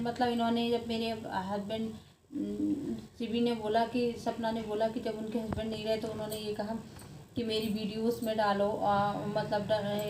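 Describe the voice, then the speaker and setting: A woman giving informal vlog commentary in an earnest, emphatic way that often circles back on itself. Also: fairly high pitch. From India. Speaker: video blogger. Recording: echoey room with faint background noise.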